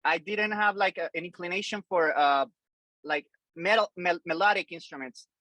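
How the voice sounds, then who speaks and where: A man's voice: high voice, normal speed, bright, forceful tone, no echo. A man in his forties from Colombia, a drummer guest on a podcast, talking loosely with many fillers and restarts. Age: 40s. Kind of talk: casual interview chat.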